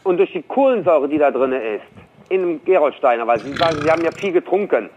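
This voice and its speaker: A man's voice: on the high side, sing-song, fast talker, darkish tone. A man selling goods talks earnestly and spontaneously to a customer on the telephone, explaining and adding questioning tags. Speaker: mineral water seller taking a customer's phone call. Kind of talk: spontaneous phone conversation. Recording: dull, noisy telephone line.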